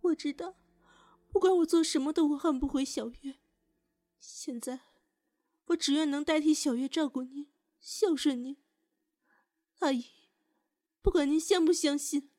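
High, soft, sorrowful young woman, acted. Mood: anguished, remorseful. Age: young adult. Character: guilt-ridden, lovelorn young woman, fragile and pleading. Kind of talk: Chinese audio drama acting.

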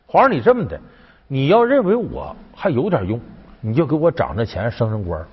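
A wily ancient court official, played by a male storyteller, coaxing and griping in colloquial style. The voice is husky and swings widely in pitch, on a slightly dull recording. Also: wildly swooping pitch, a little fast, exaggerated voice. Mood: aggrieved pleading. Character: wily male Han court official, bluffing, aggrieved schemer.

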